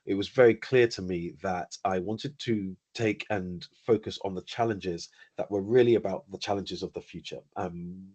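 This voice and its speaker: A middle-aged British man with a British accent, a company founder speaking as a webinar guest in an articulate, measured way with dry humour. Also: low voice, darkish tone, husky. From United Kingdom. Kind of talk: webinar interview answers.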